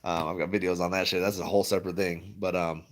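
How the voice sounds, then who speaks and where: A man's voice: low voice, little pitch movement, fast talker. A man chatting casually to his viewers, rambling and laughing often. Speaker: stock vlogger. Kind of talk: casual livestream chat.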